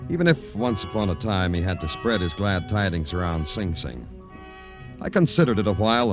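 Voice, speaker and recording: An actor playing a wry, world-weary city police detective in an old radio crime drama, with deadpan, sardonic delivery and florid phrasing. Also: male voice, on the low side. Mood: wry, musing. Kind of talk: 1950s radio crime drama narration and dialogue.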